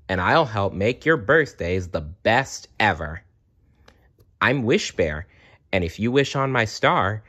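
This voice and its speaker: A man's voice: on the low side, fairly bright tone, muffled recording, exaggerated voice. A man voicing cheerful, caring cuddly teddy bears from a children's storybook in a friendly, encouraging manner.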